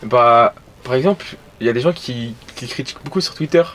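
A man's voice: mid-range voice, brisk, a bit bright. A young man, born in Portugal and raised in France, giving casual, slightly hesitant opinions in slangy speech. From born in Portugal, living in France since childhood. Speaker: passer-by guest. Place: live street show in a van. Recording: faint noisy street ambience.

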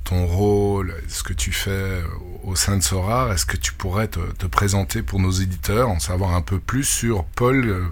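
A man's voice: low voice, steady tone, normal speed, hardly any pauses. A man hosting a podcast, introducing and interviewing a guest in a conversational way. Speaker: podcast host.

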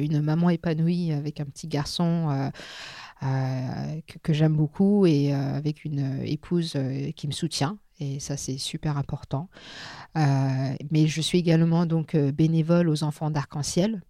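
A woman's voice: low-pitched, steady tone, measured pace, warm tone, no room sound, clean studio sound. A French woman speaking as a podcast guest, talking casually with frequent hesitations and filler sounds. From France. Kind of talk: casual interview conversation.

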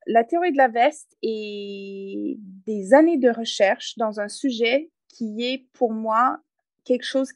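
A woman's voice: a little high, no echo. A woman from English-speaking Canada with an English Canadian accent, speaking as a guest author in a podcast interview, direct and pragmatic with hesitant fillers.

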